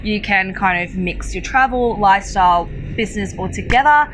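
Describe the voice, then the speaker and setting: A woman's voice: medium pitch, moderate pace, fairly bright tone, slight background noise, low-quality recording. A woman from Malaysia speaking casually as an interview guest, explaining her work and giving advice. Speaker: interview guest, digital marketer.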